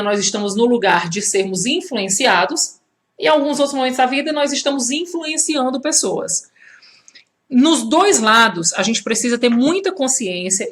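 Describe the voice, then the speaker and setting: A woman in her thirties from Fortaleza with a Cearense Brazilian accent, talking in a conversational teaching style, asking rhetorical questions and restarting her phrases. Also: a little high. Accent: Cearense Brazilian Portuguese. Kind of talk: teaching monologue to camera.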